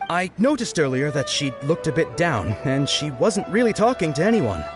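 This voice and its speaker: A man's voice: fairly high pitch, big pitch swings, moderate pace. An actor playing a shy, earnest young male knight in a video game, talking a little flustered about the woman he admires. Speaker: game character. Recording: game audio with background music.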